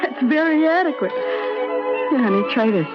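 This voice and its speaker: High-voiced brassy flirt. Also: female voice, animated, a little slow. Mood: coaxing, seductive. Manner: slangy, sassy, streetwise, coaxing. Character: brassy, flirtatious, money-minded woman of the town. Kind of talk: old radio drama acting. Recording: muffled old radio drama, loud music behind.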